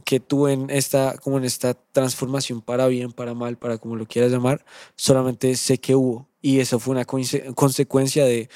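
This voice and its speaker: A man's voice: medium pitch, mellow, no echo, studio quality. A young man with a Colombian accent, a band member guest, musing earnestly in casual conversation and repeating phrases as he thinks. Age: young adult. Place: podcast studio.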